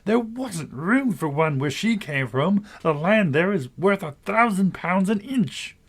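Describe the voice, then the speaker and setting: A man voicing a whole chorus of railway passengers in unison, sing-song, high and distant, in a storybook reading. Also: lively intonation, a little slow, fairly bright tone, slightly rough, heavily acted. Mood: chanting. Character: choral crowd of passengers, chanting together.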